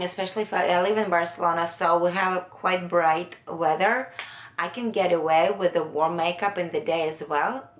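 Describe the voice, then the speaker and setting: A woman from Latvia, giving a makeup tutorial in a hesitant way, often pausing to search for words. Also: fairly low pitch, fairly bright tone, roomy sound. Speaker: beauty vlogger.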